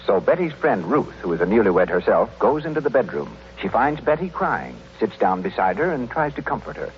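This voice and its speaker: Animated male old-time radio announcer. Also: mid-range voice, a little fast. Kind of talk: 1930s-40s scripted radio announcing and ad narration. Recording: muffled old radio recording with noise.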